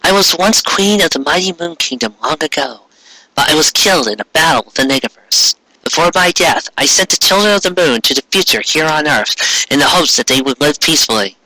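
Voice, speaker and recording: A man voicing a solemn, gentle queen with a formal, measured, motherly manner in a fan fiction reading. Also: fairly high pitch, expressive, dry recording, heavily acted, low-quality recording.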